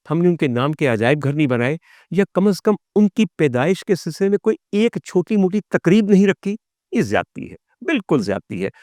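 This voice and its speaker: A man's voice: fairly high pitch, darkish tone, no room sound, clean studio sound. A man with a Pakistani accent hosting a vlog as a storytelling monologue, with theatrical, formal delivery and English phrases mixed in. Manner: theatrical, rhetorical questions, formal addresses to the audience; mixes English phrases into speech. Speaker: vlog host. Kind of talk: storytelling monologue on film history.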